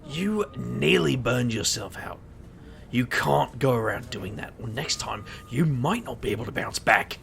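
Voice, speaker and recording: Roguish boss for audio drama, male actor: gravelly, bright, teasing and protective, faint rumble underneath. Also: expressive, measured pace, full character voice. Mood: concerned.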